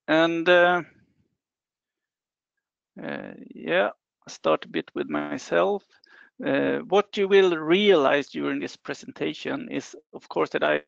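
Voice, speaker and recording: A middle-aged man from northern Sweden with a Swedish accent, a university lecturer giving an online webinar presentation, measured and hesitant with frequent fillers. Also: on the high side, vocal fry, fairly bright tone, dry recording. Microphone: computer mic on a video call. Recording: dull lo-fi webinar audio.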